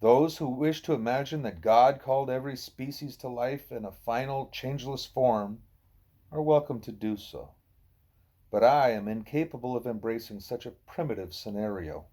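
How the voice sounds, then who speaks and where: A man voicing a wise, patient gorilla teacher who lectures in a deliberate, formal way. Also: medium pitch, warm tone, lightly acted. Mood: patient lecturing.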